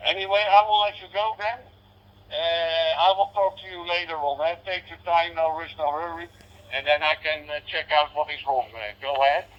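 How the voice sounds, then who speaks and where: A man from the Netherlands with a Dutch accent chatting casually over digital ham radio, often adding "eh?" and repeating phrases hesitantly. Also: a little high. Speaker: amateur radio operator. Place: in a parked car, engine running. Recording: muffled, band-limited digital ham radio transmission.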